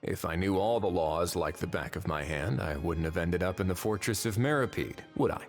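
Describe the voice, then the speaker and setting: A man voicing a wry, laid-back but shrewd official in a video game, with a dry, unhurried, authoritative manner. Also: low voice, soft background music. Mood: wry, musing. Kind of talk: English video game voice acting.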